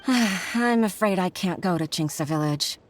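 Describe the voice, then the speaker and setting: An actress playing an earnest, flustered young junior clerk in a video game, hesitant and deferential in manner. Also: female voice, lowish voice, expressive, a little slow, background noise. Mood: apologetic, grateful.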